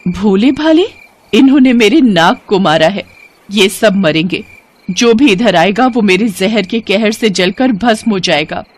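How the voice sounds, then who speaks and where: A woman voicing a vengeful, grieving female shape-shifting serpent with dramatic emphasis in an animated moral story. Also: mid-range voice, unhurried, natural voice. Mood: bitter, vengeful.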